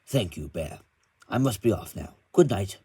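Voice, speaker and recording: A man's voice: fairly low pitch, animated, moderate pace, warm tone, husky, no room sound. A man voicing a formal, courteous male railway boss giving instructions.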